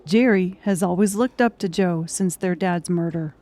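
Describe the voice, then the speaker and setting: A woman's voice: on the low side, normal speed, warm tone. Woman narrating a fiction audiobook.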